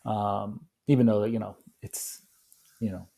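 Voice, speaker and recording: A man's voice: lowish voice, level tone, measured pace, vocal fry, warm tone. A man, talking casually as a podcast guest, rambling with lots of fillers and restarts. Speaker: podcast guest, coffee company founder. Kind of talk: casual interview chat.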